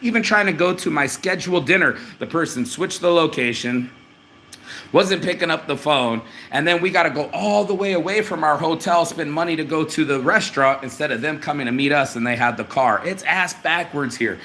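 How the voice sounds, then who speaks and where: A man's voice: on the high side, fairly quick, sharp tone, slight background noise. A man from New Orleans giving a blunt, rambling, profane live travel update from a hotel room. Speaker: vlogger. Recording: echoey room with faint ambience.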